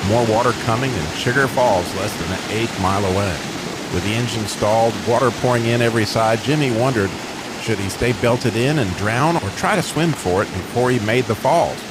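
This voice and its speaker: A man tells a dramatic scripted story in a low voice. His intonation is highly expressive, and rain and rushing water sound clearly behind him. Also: heavy background noise. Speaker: narrator.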